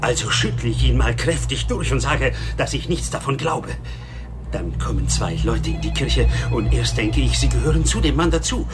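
An actor as an uneasy male witness in a radio thriller. He tells his account earnestly and colloquially in a medium-pitched voice, with music clearly behind him. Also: lively intonation, lightly acted. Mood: nervous, earnest. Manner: halting, colloquial storytelling. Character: nervous, earnest male crime witness with violent urges. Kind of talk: German radio crime drama acting.

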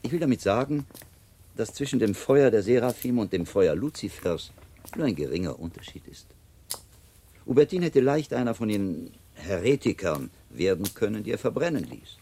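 Low, gravelly, thoughtful monk. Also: male voice, warm tone, exaggerated voice. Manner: measured, reflective, probing. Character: shrewd, thoughtful monk, former inquisitor, a master. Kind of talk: radio drama acting.

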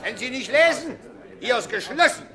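A stubborn foreign showman in a radio play. His voice is extremely high for a man and comes through loud noise. He is gruff and curt, refusing to budge. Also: lively intonation, brisk, a loud crowd. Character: gruff, stubborn foreign crocodile owner guarding his door.

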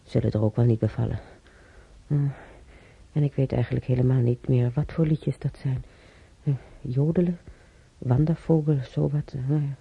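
A man's voice: medium pitch, fairly even intonation, normal speed, gravelly, husky, dry recording, muffled recording. An actor playing a resigned, wry male hospital patient in a radio drama, muttering and grumbling to himself. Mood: musing.

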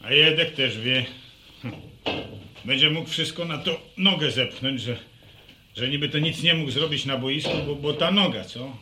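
A man's voice: mid-range voice, measured pace, bright, forceful tone. An actor playing a scornful, grumbling village man in a radio drama, blunt and needling. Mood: scornful, exasperated. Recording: roomy, slightly noisy recording.

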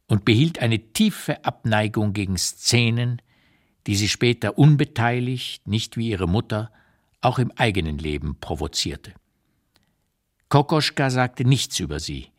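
An elderly émigré man of letters narrating his memoirs for a radio play. The voice sits fairly low but moves widely in pitch. He tells it candidly and reflectively, placing emphasis with deliberate care. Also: exaggerated voice. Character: elderly émigré male writer looking back, candid, reflective. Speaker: actor reading a literary memoir as narrator.

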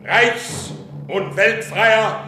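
A wronged, vengeful rebel commander in a radio drama. His voice is very high and piercing, declaimed at a slow, weighty pace, like orders meant to be obeyed. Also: bright, forceful tone, roomy sound, soft background music, full character voice. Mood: solemn, proclaiming. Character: wronged horse dealer turned furious, vengeful rebel leader. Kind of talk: German radio play acting.

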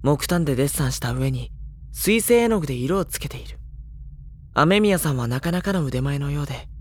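An actor playing a mild, bookish high school boy with dry retorts, narrating and talking in an audio drama. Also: lively intonation, a little fast. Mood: calm, reflective.

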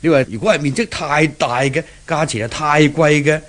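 A man's voice: on the high side, normal speed, fairly bright tone, natural voice. An actor playing a cautious, nagging older shopkeeper father who speaks hesitantly in a 1970s Cantonese radio drama, with a Hong Kong accent. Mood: resigned, worried.

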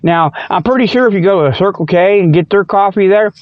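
A high-voiced older man delivers a folksy comic monologue as a radio host. He rambles and repeats himself in colloquial speech, circling off on tangents. The recording is dry and somewhat dull and lo-fi. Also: quick pace, no echo. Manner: folksy, drawling colloquial phrasing, repetitive and digressive.

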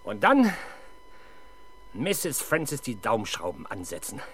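A middle-aged actor playing a stubborn, embittered police inspector in a 1970s German radio crime play, gruff and sardonic. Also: male voice, mid-range voice, huge pitch swings, unhurried, fairly bright tone, a little hiss or hum. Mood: determined.

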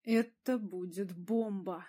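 A female narrator plays a male scandal journalist in an audiobook. He is excitable and gloating. His manner is eager and self-important. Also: mid-range voice, slow. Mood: gloating, excited. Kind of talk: audiobook character voice.

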